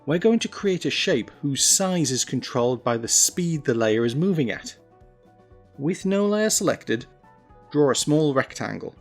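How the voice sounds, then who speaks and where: A man narrating a scripted software tutorial, giving clear step-by-step instructions with wry, self-deprecating asides. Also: on the high side, animated, measured pace, faint music behind. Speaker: tutorial presenter.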